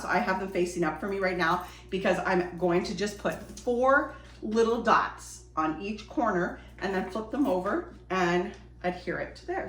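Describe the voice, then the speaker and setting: A woman chatting enthusiastically to viewers while doing a quick craft project, a bit sniffly from a cold. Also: medium pitch, fairly bright tone. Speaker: DIY vlogger. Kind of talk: casual how-to chat while crafting. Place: home decorating space, indoors. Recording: roomy echo, distant from mic, faint noise.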